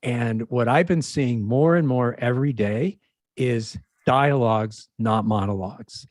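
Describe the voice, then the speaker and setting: Enthusiastic man, mid-pitched, very dry sound. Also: measured pace, a little mellow, no echo. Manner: enthusiastic, emphatic praise. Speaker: panelist. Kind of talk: casual unscripted discussion. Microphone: microphone on an online video call.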